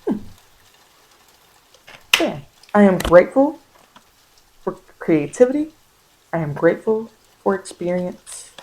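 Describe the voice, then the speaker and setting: A woman's voice: mid-range voice, big pitch swings, slow, long pauses, slight background noise, low-quality recording. A woman giving an informal, encouraging tarot reading in ASMR style, speaking colloquially and repeating phrases for emphasis. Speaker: tarot card reader and host. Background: bird and rain sounds.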